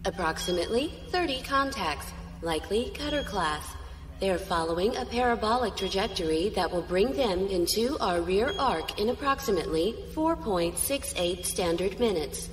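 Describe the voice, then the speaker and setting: A woman playing a calm, precise bridge officer in an audio drama, giving clinical, matter-of-fact reports. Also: medium pitch, moderate pace, soft background music, natural voice. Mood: detached, businesslike. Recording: echoey hall reverb.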